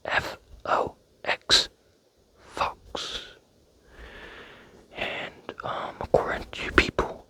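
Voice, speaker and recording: A young man rambling playfully in a close-miked ASMR whisper, with many fillers. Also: sharp tone. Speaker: ASMR creator.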